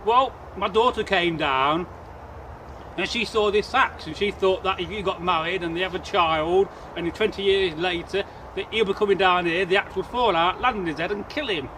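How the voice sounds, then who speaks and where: A man's voice: high voice, normal speed, natural voice. A man voicing a flustered middle-aged farmer, fretful and upset, recorded outdoors with traffic noise.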